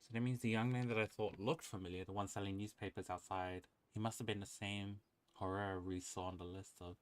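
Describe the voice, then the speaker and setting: A man's voice: lowish voice, fairly even intonation, brisk, smooth. A man streaming a game, giving casual live commentary and chatting to viewers in a friendly, welcoming way.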